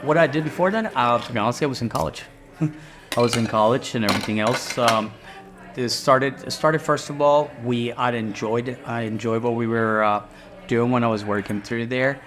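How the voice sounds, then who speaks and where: A man's voice: medium pitch, normal speed, background noise. A middle-aged man from Guatemala, a restaurant owner, chatting casually in an interview and rambling a little with fillers and restarts.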